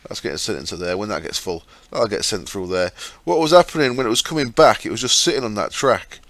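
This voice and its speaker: Croaky-voiced man walking through his game. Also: fairly low pitch, fairly bright tone. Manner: chatty, explains step by step with fillers. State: sore, croaky throat. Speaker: gaming channel host. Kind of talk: casual game commentary.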